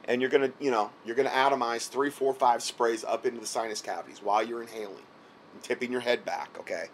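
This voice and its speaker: A hoarse man talks the listener through instructions in a loose, rambling way, stopping often to check that he is being followed. His voice sits at a middle pitch and is a little worn from a cold. A faint hiss runs under the recording. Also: fairly even intonation, quick pace. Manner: repeats himself, frequent "okay?" check-ins. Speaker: health product seller giving advice. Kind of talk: casual instructional talk. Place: indoors, late at night.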